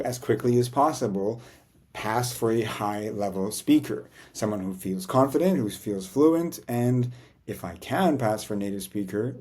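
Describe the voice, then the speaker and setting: A man's voice: mid-range voice, mellow, husky. A man vlogging about learning a language, talking in an earnest, reflective way and often ending thoughts with "right?". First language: English. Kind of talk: casual talking-head vlog.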